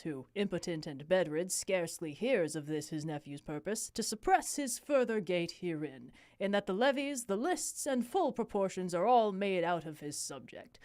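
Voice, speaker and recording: A woman's voice: on the low side, lively intonation, normal speed, few pauses, darkish tone, dry recording, studio quality, natural voice. A woman voicing a stately Danish king in a formal, declamatory Shakespeare read-aloud. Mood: pompous, businesslike. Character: stately Danish king addressing his court.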